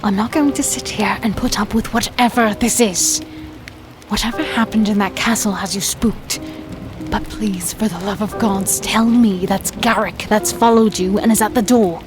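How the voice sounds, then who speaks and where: A woman acts as a feisty fantasy archer wife with a mid-pitched voice. She is blunt, stubborn and expressive yet caring, over music in a dark audio drama. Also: normal speed, natural voice. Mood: defiant.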